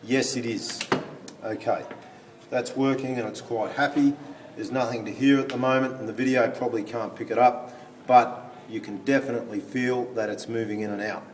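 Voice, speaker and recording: A man explains a physics demonstration step by step as a teacher, haltingly thinking aloud while he handles equipment. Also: mid-range voice, steady tone. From Australia. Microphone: phone camera some way off. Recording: distant phone recording, background hiss.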